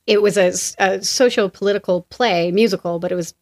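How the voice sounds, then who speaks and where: An American woman speaks as a guest in a relaxed interview. She talks fast and clearly. She tells stories with energy and acts out what other people said. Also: mid-range voice. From United States. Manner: lively storyteller who acts out other people's lines. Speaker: guest, associate principal and former actor. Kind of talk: casual conversational interview.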